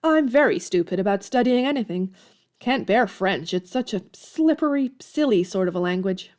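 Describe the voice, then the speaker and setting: A woman's voice: mid-range voice, animated, normal speed, a little mellow, close to an everyday voice. An actress playing a headstrong, hot-tempered tomboyish young woman in an audio drama, outspoken and emphatic. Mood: haughty, flippant. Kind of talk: audio drama acting of a period novel.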